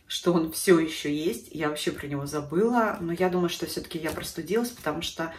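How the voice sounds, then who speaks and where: A woman's voice: deep voice, fairly quick, roomy sound, far from the mic. A woman in her thirties with a stuffy nose from a cold, chatting casually to camera about beauty products at home. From Russia. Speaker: beauty vlogger. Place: living room at home.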